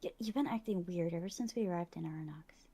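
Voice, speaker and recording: A woman playing a shy, caring young friend who stammers gently in a breathy voice. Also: lowish voice, fast. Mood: worried, pleading. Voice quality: breathy, whispery. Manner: hesitant, stammering.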